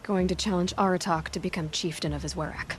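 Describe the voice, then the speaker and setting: An actress playing a determined young outlander hunter, direct and dryly sceptical, in a video game cutscene. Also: female voice, lowish voice, steady tone, fast talker, a little hiss or hum, natural voice. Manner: direct, dry, questioning.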